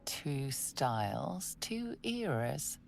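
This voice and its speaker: A woman voicing the solemn, all-knowing narrator of a fantasy video game in a measured, hushed, deliberate delivery. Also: extremely low pitch, sing-song, creaky, soft background music, heavily acted.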